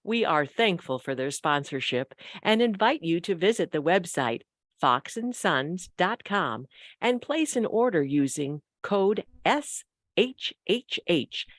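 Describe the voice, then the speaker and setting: A woman's voice: on the low side, very animated, slow, no echo, clean studio sound. A woman announcer reading scripted narration and sponsor messages for a radio show.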